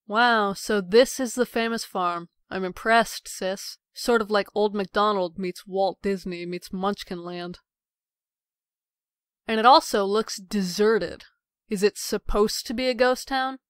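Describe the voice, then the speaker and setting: A woman's voice: mid-range voice, steady tone, unhurried, smooth, no echo, clean studio sound, natural voice. A woman voicing a sarcastic, mocking younger sister. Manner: sarcastic, teasing.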